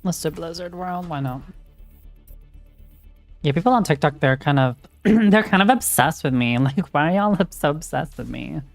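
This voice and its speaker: A woman's voice: sits low, lively intonation, normal speed, vocal fry, soft background music. A woman streamer chatting casually and frankly while she plays a game, with lots of filler words. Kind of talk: casual chat while gaming.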